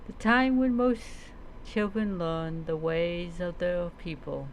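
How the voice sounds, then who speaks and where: A woman telling a story in character, reading aloud haltingly with reminiscing asides. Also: on the low side, slight background noise, poor audio. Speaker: roleplay streamer.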